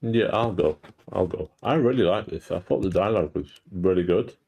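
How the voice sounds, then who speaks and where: A man giving casual feedback in a measured, thoughtful manner. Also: low voice, vocal fry, breathy edge, muffled recording. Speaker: participant giving script feedback.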